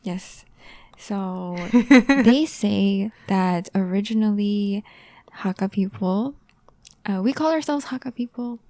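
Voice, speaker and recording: A young woman co-hosting a casual podcast chat, talking softly and often dropping to a near whisper. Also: medium pitch, slow pace, no echo. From family of Chinese ancestry raised in India. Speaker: podcast co-host.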